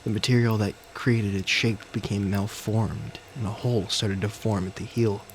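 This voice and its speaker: Low-voiced man narrating a dramatic audio story. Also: fairly even intonation, normal speed. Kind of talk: scripted first-person dramatic narration. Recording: faint background noise and ambience.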